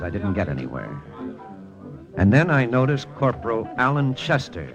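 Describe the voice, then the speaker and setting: An actor playing a wry, hardboiled American army lieutenant working undercover in an old radio drama. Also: male voice, lowish voice, low-quality recording. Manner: dry, hardboiled, wry narration. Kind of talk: 1950s radio drama acting, first-person narration.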